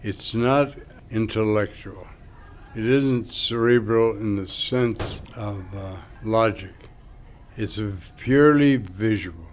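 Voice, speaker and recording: Older sculptor, Greek native, unhurried reflective delivery, lowish voice. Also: male voice. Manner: slow, thoughtful, musing. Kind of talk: interview reflection.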